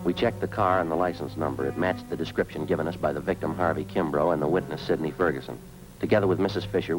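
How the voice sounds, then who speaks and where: Man acting police sergeant, American, deep, rapid clipped delivery, businesslike, old radio drama with music. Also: close to an everyday voice, low-quality recording. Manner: clipped, matter-of-fact. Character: terse, businesslike police sergeant narrating his case. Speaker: lead actor and narrator. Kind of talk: 1940s-50s radio drama, clipped procedural narration.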